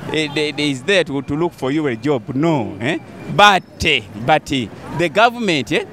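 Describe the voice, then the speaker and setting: An older man with a Ugandan accent answering on a busy street, halting and repeating words with many fillers. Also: on the high side, animated. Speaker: passer-by. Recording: loud traffic noise behind.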